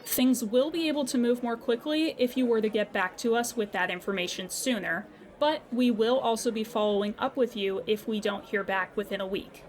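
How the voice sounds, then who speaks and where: A woman's voice: on the high side, normal speed, natural voice. A woman playing a polite, unflappable customer service agent in an audio drama, speaking formally and patiently. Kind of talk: audio drama phone dialogue.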